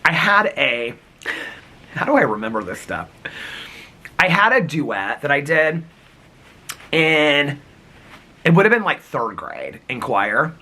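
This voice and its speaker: Man, husky crackly voice, bright and lively, rambling chatty vlog, giggles often, outdoors in the wind. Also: on the high side. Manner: rambling, giggly, animated storytelling. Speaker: vlogger. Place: outdoors in windy snowy weather.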